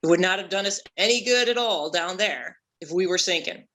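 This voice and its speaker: A formal sea captain from a stage comedy script, played by a woman. The voice is bright and forceful, and the phrasing is pompous and old-fashioned. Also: fairly low pitch, a little fast, close to an everyday voice. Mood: stern, formal. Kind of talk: theatrical script table read.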